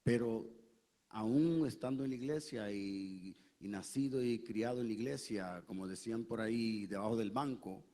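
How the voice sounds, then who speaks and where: A pastor gives his testimony in a church, his voice low and mellow. He speaks earnestly and haltingly, with frequent pauses and fillers. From Puerto Rico. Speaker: pastor, guest and interpreter. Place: church sanctuary.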